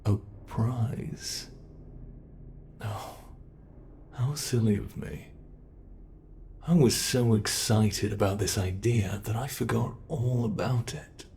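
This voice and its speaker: A man voicing a sinister, playful monster in an ASMR horror roleplay, gravelly and sometimes breathy, taunting with theatrical, unhurried menace. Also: fairly low pitch, a little mellow, slight background noise. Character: sinister playful male monster hunting its captive.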